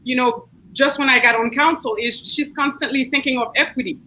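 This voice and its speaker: Woman, Haitian accent, bright voice, earnest, video call, faint noise. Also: high voice, fairly even intonation, a little fast, poor audio. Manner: earnest, thoughtful, restarts often. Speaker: city council member. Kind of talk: online book discussion.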